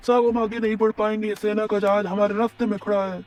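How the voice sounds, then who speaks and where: A woman voicing an excitable male fighter in a dramatized audio story. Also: medium pitch, quick pace, slight background noise, natural voice. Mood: panicked.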